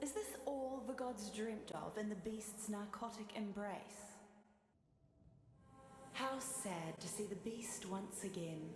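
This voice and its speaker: A woman's voice: mid-range voice, slow pace, close to an everyday voice. An actress voicing a haughty, wry female sword spirit who loves fire, in a video game. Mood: cool contempt. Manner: wry, theatrical, disdainful. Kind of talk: scripted video game voice lines. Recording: roomy, noisy game audio capture.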